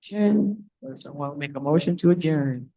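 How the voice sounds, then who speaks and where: Gravelly man chairing a board meeting. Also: on the high side, dark tone, no echo, rough recording. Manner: measured, hesitant with ums. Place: public meeting room.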